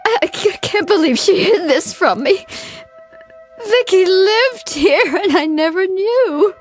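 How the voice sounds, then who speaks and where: An actress playing a grieving, stunned daughter in an audio drama, emotional and halting. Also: female voice, very high voice, animated, full character voice. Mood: stunned, tearful. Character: grieving grown daughter stunned by her mother's secret. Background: sentimental theme music.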